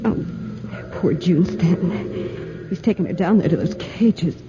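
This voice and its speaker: A woman's voice: lowish voice, a little slow, natural voice. An actress playing a plucky, jittery young American woman in a 1940s radio drama, slangy and given to breathless worrying. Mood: nervous, worried. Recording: muffled, noisy 1940s radio recording.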